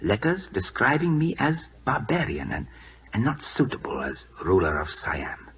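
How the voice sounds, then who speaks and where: A man's voice: mid-range voice, wildly swooping pitch, moderate pace, fairly bright tone, breathy edge, full character voice. An actor playing a proud, impatient king of Siam in a 1940s radio drama, with a put-on Siamese accent and clipped, formal broken English. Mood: indignant, commanding. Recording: dull lo-fi old radio recording.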